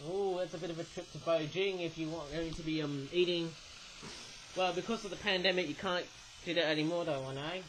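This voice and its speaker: A man's voice: on the high side, fairly even intonation, brisk, fairly bright tone, a little hiss or hum, rough recording. A young Australian man casually reviewing toys, rambling on and often tagging his sentences with "eh?". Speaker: toy reviewer. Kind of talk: casual toy review monologue.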